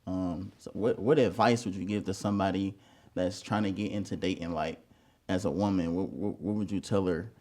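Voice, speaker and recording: A man's voice: low-pitched, steady tone, normal speed, a little mellow. A man hosting a casual dating-show follow-up interview, asking questions in a laid-back, slangy way. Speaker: host.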